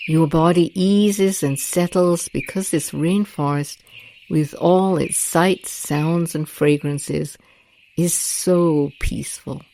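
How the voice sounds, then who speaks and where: A woman guiding a meditation with a gentle, deliberate, calming delivery and long pauses. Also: deep voice, vocal fry, a little hiss or hum, low-quality recording. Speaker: guided meditation host. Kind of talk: soothing guided meditation narration. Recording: nature ambience with birds and crickets. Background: rainforest sounds, birdsong and crickets.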